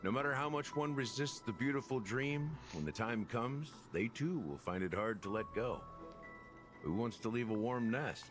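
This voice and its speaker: An actor playing a laid-back, sardonic, world-weary investigator in a game, with a casual, wry delivery. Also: male voice, medium pitch, lively intonation, moderate pace, music in the background, lightly acted.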